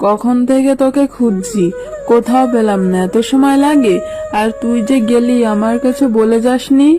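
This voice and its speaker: Casting: exasperated cartoon village mum a woman acting, fairly high voice, scolding her child, music behind. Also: moderate pace, close to an everyday voice. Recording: background music under voice.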